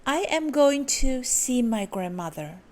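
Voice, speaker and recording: A woman voicing a sweet, innocent little girl in a storybook reading, high and sing-song. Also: big pitch swings, a little slow, a little mellow.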